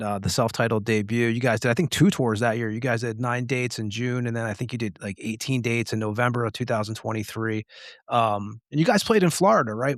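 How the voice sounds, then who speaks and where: A man's voice: mid-range voice, no room sound, clean studio sound. A man hosting a casual music interview, asking informal, rambling questions. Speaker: podcast host interviewing a band.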